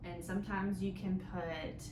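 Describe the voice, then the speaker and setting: Female children's storytime host, unhurried and instructive, asks simple questions; noisy, reverberant room recording. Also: fairly low pitch, fairly even intonation. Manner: simple, instructive phrasing with frequent questions and check-ins. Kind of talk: interactive storytime for young children, with songs and guessing games. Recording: echoey room with clear background noise.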